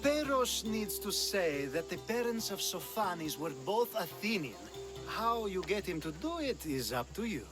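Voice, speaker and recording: An actor playing a charming, flirtatious Athenian aristocrat with a coy, teasing, theatrical manner in a video game. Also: male voice, sits high, very animated, unhurried. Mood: coaxing. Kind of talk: video game character acting. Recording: game dialogue over music.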